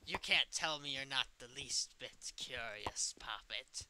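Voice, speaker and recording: A man's voice: mid-range voice, expressive, unhurried, sharp tone, a little hiss or hum, poor audio. A man role-playing a smug, teasing evil puppet killer in playful, mock-innocent banter over a game. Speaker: role-play gamer.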